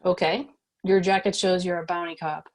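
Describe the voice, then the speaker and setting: Low-voiced, guarded woman ex-cop, acted. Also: level tone, moderate pace, natural voice. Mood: probing. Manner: cautious, matter-of-fact. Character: guarded, modest woman ex-bounty cop, tough group leader. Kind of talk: screenplay table read, dramatic dialogue.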